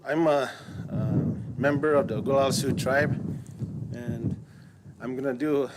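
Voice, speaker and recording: A man whose first language is Lakota speaks haltingly at a ceremony, with plenty of ums and pauses, then settles into a steady, rhythmic prayer. He has a middle-pitched voice. The live stream carries clear background noise. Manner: hesitant, many fillers, then steady chanting prayer.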